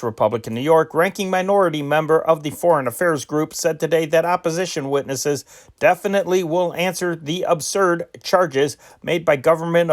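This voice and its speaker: A man reading old newspaper dispatches aloud in an unpolished, measured way, with occasional slips. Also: on the high side. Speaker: news reader.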